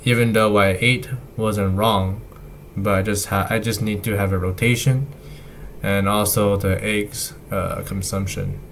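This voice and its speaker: Low-voiced young man rambling casually. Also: slight background noise. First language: not Chinese. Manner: halting, many fillers and restarts. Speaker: vlogger. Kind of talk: casual health update to camera.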